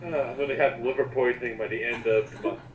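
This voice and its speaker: A man co-hosting a casual commentary chat with sarcastic, animated joking banter. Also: medium pitch, quick pace. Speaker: commentary co-host. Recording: echoey, dull lo-fi mic with noise.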